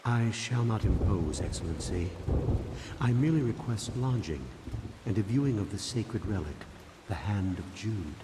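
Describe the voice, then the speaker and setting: A humble monk from a video game, played by a man, speaks politely and formally in a fairly low voice. The sound is roomy, with a clear rainstorm in the background. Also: expressive, normal speed, background noise, natural voice. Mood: humble request. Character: humble, courteous Franciscan monk, a traveling man. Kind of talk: video game cutscene acting. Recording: roomy echo over rain and thunder.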